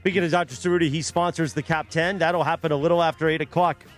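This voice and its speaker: Man, American, radio co-host, high pitch, wry, mock-formal. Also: fairly even intonation, quick pace, soft background music. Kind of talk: casual sports talk.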